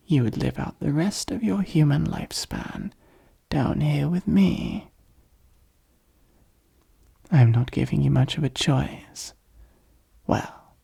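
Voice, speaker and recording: A performer voicing a possessive but softly devoted demon ruler of hell in an ASMR roleplay, speaking formally and intimately in a breathy, husky, often whispered voice. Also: medium pitch, exaggerated voice. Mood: calm, commanding. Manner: slow, formal, deliberate, intimate.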